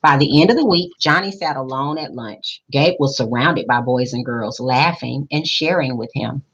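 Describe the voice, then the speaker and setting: A woman reading a picture book aloud expressively, pausing in a teacherly way to put questions to young listeners. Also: rumbling low, fairly bright tone, husky, muffled recording. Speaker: school principal reading aloud to children.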